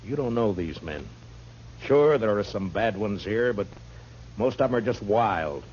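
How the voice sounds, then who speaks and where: Deep-voiced, tough frontier marshal. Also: male voice, lively intonation, unhurried, darkish tone. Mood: firm. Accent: American. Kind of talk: 1950s radio western acting. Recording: muffled, noisy old radio recording with hum.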